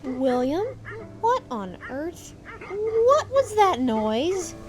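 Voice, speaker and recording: A woman playing a high, steadfast wife. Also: sing-song, very slow, heavily acted. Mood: puzzled. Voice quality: firm and encouraging. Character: caring, steadfast wife of a railway owner.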